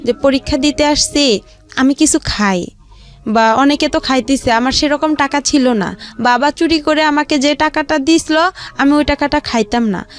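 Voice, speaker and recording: A woman's voice: sits high, moderate pace. A woman telling her life story informally as an interview guest, rambling along step by step. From Rangpur region, Bangladesh. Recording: music behind the voice. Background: background music.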